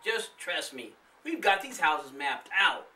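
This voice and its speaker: A man's voice: on the high side, sing-song, slow pace, sharp tone, distant mic, voice pushed up, full character voice. A man voicing a mocking, know-it-all older brother, a kid in a storybook. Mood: impatient, reassuring.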